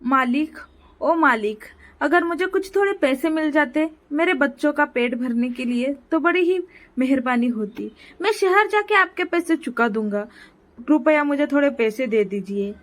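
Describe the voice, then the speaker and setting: High-pitched, poor village father, humble, worried, pleading, storybook character, acted by a woman. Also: expressive, normal speed, a bit bright.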